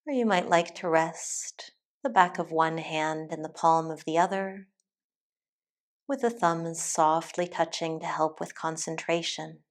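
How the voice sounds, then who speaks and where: A woman teacher leading a guided meditation in a calm, soothing, deliberate way with long pauses. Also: low-pitched. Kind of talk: guided meditation, soft instructional narration.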